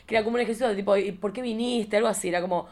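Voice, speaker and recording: Fast-talking Argentine woman, playful storyteller. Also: mid-range voice. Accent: Argentine Rioplatense. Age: 40s. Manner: playful, theatrical, expressive storytelling. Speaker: podcast host. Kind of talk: casual chatty interview with personal anecdotes.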